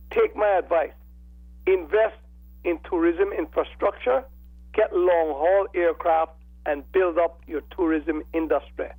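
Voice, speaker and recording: An older man with a croaky, raspy voice, giving deliberate, formal political commentary as a phone-in caller. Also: a little high, a little mellow, no room sound. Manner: deliberate, formal, oratorical phrasing. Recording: telephone call into radio show.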